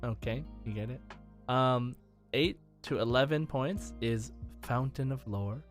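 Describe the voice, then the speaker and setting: A man's voice: medium pitch, unhurried. Male podcast host, conversational and jokey, mid-range voice, light music underneath. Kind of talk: casual gaming podcast chat.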